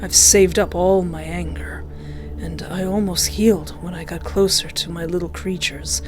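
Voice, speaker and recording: A woman voicing a menacing, obsessed, disfigured ex-boyfriend with a hushed, breathy delivery, slow and scolding. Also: fairly low pitch, fairly even intonation, music in the background, lightly acted. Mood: vengeful.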